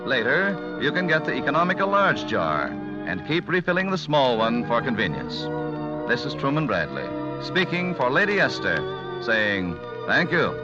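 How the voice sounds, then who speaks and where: A man's voice: mid-range voice, very animated, moderate pace. An American man announcing a 1940s radio show in a scripted broadcast style. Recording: dull vintage radio broadcast with music.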